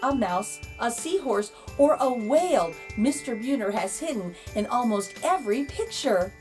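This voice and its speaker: A woman narrating a children's storybook aloud as a read-aloud host, expressive and drawing out key words. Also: fairly high pitch, moderate pace, soft background music.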